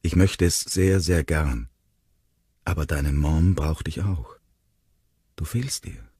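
Man playing a sorrowful, tender father, very deep. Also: animated, moderate pace, mellow, slightly rough, dry recording, clean studio sound, heavily acted. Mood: sad, tender. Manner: tender, murmuring, choked with emotion. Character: loving, sorrowful father comforting his young son.

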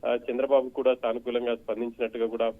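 A man reporting as a news correspondent over the telephone, halting and hesitant in broken phrases. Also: mid-range voice, level tone. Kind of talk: live unscripted phone report. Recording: muffled narrow-band phone line.

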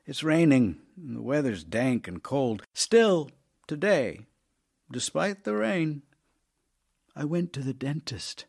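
A man's voice: mid-range voice, very animated, slow pace, vocal fry, exaggerated voice. An actor playing an ailing, tender, wry writer reading his love letters aloud in an audio drama, in a theatrical, intimate manner. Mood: wry, resigned, affectionate. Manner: theatrical, wry, intimate, shifting from emphatic to hushed.

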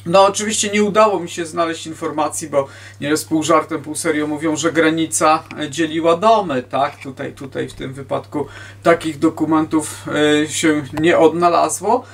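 Male lecturer, 50s, slightly high register, hesitant informal delivery with false starts, miked from a distance indoors. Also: fairly even intonation, moderate pace, slight background noise. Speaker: historian giving a lecture. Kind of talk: informal history lecture to camera. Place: book-lined room at home, indoors. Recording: distant microphone in a room.

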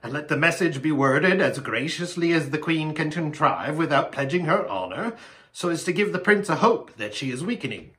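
A man voicing an anxious, eager male faun, courteous and breathlessly excitable, in a storybook reading. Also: on the high side, moderate pace. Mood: eager scheming.

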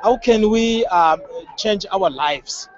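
High-pitched, earnest Congolese man. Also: slight background noise. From Democratic Republic of the Congo. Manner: earnest, hesitant, halting phrasing. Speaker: member of the public being interviewed. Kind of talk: reflective street interview. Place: outdoors at a crowded street gathering. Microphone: handheld interviewer's microphone. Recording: muffled, band-limited outdoor field audio.

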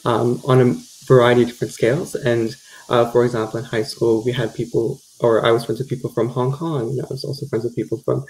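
A man's voice: medium pitch, little pitch movement, fast talker, hardly any pauses, darkish tone, low-quality recording. A young man from Toronto, a university student, speaking fluently in a casual discussion over a video call. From Toronto, Canada. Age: young adult. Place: bright room indoors.

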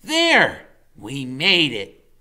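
A man's voice: mid-range voice, huge pitch swings, extremely slow, sharp tone, pitched above the performer's own voice, full character voice. A man voicing a small, imaginative boy who talks in grand, old-fashioned knightly phrases. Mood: grandiose.

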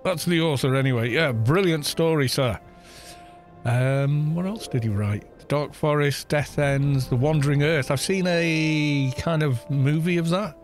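A man's voice: on the high side, measured pace, soft background music. A man streaming a game, chatting casually in a rambling, self-deprecating way on a close desk mic.

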